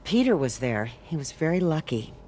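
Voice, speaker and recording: An older woman playing a kindly, gentle aunt in a naturalistic video game drama. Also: low-pitched, very animated, moderate pace, faint music behind. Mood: warm concern.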